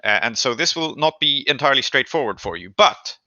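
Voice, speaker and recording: A man with a very bright, forceful voice leads a tabletop roleplaying game as its game master. He explains the scene deliberately, pausing to find his words. The sound is very dry and slightly dull. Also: medium pitch, lively intonation, no echo. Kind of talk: improvised narration of a tabletop game session.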